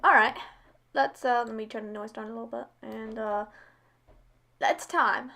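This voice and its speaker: A woman's voice: fairly high pitch, fairly even intonation, moderate pace, creaky, bright, forceful tone. A woman hosting a reaction video, chatting casually.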